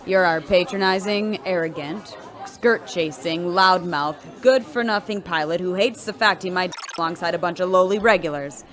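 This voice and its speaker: An actress playing a blunt, no-nonsense bartender with a cutting manner in a sci-fi radio drama. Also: female voice, lowish voice, normal speed, few pauses, a bit bright, some chatter behind, close to an everyday voice. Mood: scornful. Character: blunt, scornful bartender at a spaceship bar.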